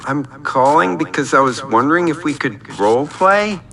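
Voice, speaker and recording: A man's voice: a little high, animated, moderate pace, gravelly, a crowd in the background. A man calling in to a radio show, talking conversationally over a noisy connection.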